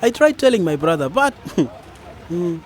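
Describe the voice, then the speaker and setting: A man's voice: high voice, sing-song, a little slow. A man playing a defensive, sheepish young husband in a radio drama, speaking hesitantly. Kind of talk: educational radio drama acting.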